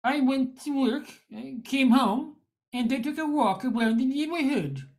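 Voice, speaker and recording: A bewildered husband acted by a woman in a radio drama, with a high-pitched voice. The delivery is slow and hesitant, with stammered starts and stretched words. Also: lively intonation, darkish tone. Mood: wistful. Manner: halting, stammering, drawn-out words. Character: befuddled, hesitant husband losing his brain cells. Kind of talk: radio play acting.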